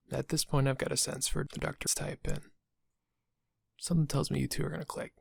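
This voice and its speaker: A man acts out a dental receptionist in an intimate audio roleplay. His mid-pitched voice is thick with vocal fry and rather husky. He is polite and casual, with a quietly sinister, insinuating edge. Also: dry recording, clean studio sound, full character voice. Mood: knowing, insinuating. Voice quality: gravelly, husky. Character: friendly, subtly sinister male dental office receptionist.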